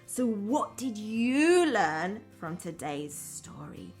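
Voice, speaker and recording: A woman's voice: medium pitch, sing-song, takes it slowly, soft background music. A woman primary teacher reading a storybook aloud to young children, expressively, with simple chatty asides.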